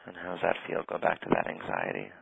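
A man guiding a tapping session in a calm, steady way, naming tapping points and saying short phrases for the other person to repeat. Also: low-pitched, vocal fry, slightly rough, no echo, poor audio. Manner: calm, slow, leads phrases for repetition. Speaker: tapping practitioner.

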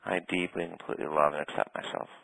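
Super deep raspy guy calmly leading tapping, dry close sound. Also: dry recording, low-quality recording. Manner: calm, slow, leads phrases for repetition. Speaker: tapping practitioner. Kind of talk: guided tapping session.

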